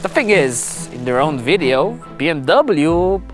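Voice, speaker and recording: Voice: mid-range voice, sing-song, measured pace. A narrator with a wry, conversational manner, reading scripted narration with casual asides. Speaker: narrator and host. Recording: music under the voice. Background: background music.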